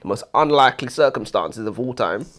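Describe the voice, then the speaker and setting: A man's voice: medium pitch, animated, a little fast, fairly bright tone. A British man bantering casually as a book review co-host, blunt, opinionated and jokey.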